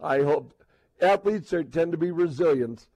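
A man hosting a sports radio show in casual talk, halting and repeating words as he builds toward a point. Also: a little high, expressive, dark tone, no echo, dull audio.

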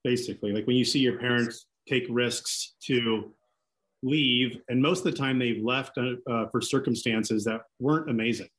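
A man's voice: medium pitch, normal speed, a bit bright, low-quality recording. A man in his forties with Greek as his first language, talking casually as a podcast guest, with many fillers and restarts. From Seattle. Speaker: interview guest.

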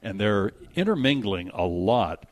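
Male movie-show host, lower register, conversational delivery of entertainment news with off-the-cuff asides. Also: sing-song, unhurried. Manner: conversational, reads news items aloud with asides. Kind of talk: casual movie and TV news chat.

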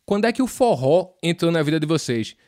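A man's voice: a little high, very animated, a little fast, darkish tone. A man with a northeastern Brazilian accent co-hosting a podcast in casual, playful slang full of pet names. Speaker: podcast co-host. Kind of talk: casual, slangy music show chat.

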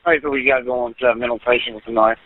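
A man's voice: mid-range voice, fairly even intonation, fast. A male police officer talking in terse bursts over a police radio. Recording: tinny police radio with faint noise.

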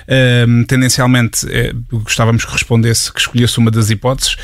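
A man's voice: medium pitch, little pitch movement, moderate pace, dry recording. A man with a European Portuguese accent hosting a talk show, asking long, playful questions with many fillers. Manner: jokey, wordy questions with fillers. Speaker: host.

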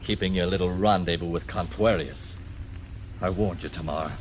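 Brief: actor as a blunt western gambler, deep voice, muffled hissy radio sound. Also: male voice, normal speed, lightly acted. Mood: menacing. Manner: menacing, blunt. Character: hard, fearless gambler and croupier. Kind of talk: 1940s radio acting. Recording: dull old radio recording, faint hiss.

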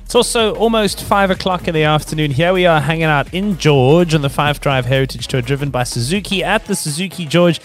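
A man presenting a radio show in a bright, breezy way. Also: a little high, fairly quick, few pauses, faint music behind.

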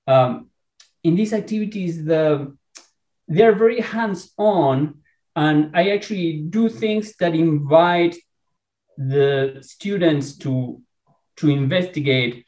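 A man from Argentina with an Argentine Spanish accent, a researcher talking in a podcast interview, enthusiastic and halting with many restarts. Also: a little high, a little mellow, reverberant, poor audio. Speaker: researcher and guest.

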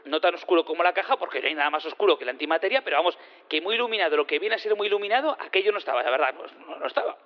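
An actor in an audio drama playing an eager space physicist on the witness stand, rambling, hesitant and repeating himself. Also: male voice, a little high, rapid-fire, lightly acted. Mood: rambling, apologetic. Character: rambling, eager male space physicist who teleports things. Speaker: witness in a courtroom. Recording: dull lo-fi sound in long reverb.

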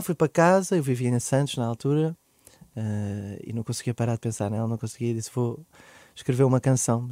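A man in his thirties with a European Portuguese accent, a podcast guest telling a personal story in casual, lively talk that laughs, rushes ahead, then trails off softly. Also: medium pitch, dry recording, clean studio sound.

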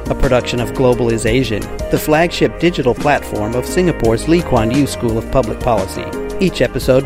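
A man hosting an interview podcast, reading a scripted intro and then asking questions calmly. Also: mid-range voice. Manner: calm, brief affirming murmurs. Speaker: podcast host. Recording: music bed under the voice.

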